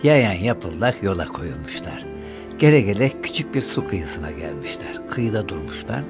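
A man's voice: deep voice, sing-song, moderate pace. A man narrating a scripted children's fairy tale as a storyteller, with music behind him.

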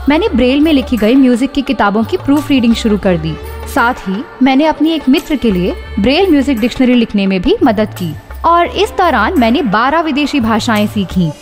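A woman's voice: on the high side, expressive, moderate pace, over music, natural voice. A woman playing a confident blind woman who tells her life story in scripted radio narration. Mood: earnest, confident.

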